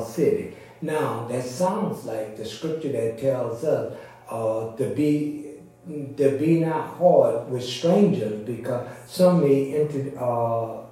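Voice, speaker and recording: A man preaching informally, explaining a story and its lesson in a halting, conversational way. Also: medium pitch, warm tone. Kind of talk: informal Sunday sermon commentary. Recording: very echoey room, distant microphone.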